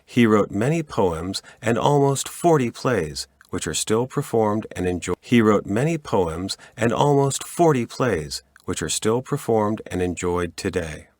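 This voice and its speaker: A man's voice: lowish voice, expressive, unhurried, few pauses, a little mellow, no echo, clean studio sound. A man delivering scripted educational narration.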